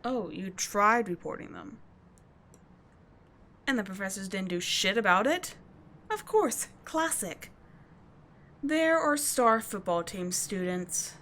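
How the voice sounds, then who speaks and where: A woman playing a tough but kind teenage delinquent schoolgirl in an audio roleplay, talking casually and bluntly to the listener, with swearing and halting, confiding asides. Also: medium pitch, fairly bright tone, slight background noise. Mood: indignant, sardonic.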